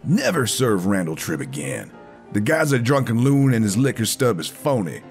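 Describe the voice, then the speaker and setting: A man's voice: on the low side, big pitch swings, moderate pace, faint music behind. An actor playing a stern, exasperated man laying down the law in an audio drama.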